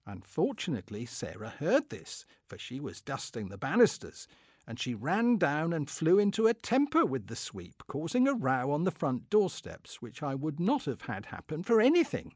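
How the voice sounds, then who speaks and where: A pompous middle-aged clerk of the Victorian era, acted out by a man, reading his diary aloud with fussy indignation. His voice swoops expressively and sits a little high for a man, in a very dry, close recording. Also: huge pitch swings, normal speed, darkish tone, no room sound. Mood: fussy, put out. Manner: pompous, indignant, self-satisfied. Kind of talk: dramatic comic reading of a diary.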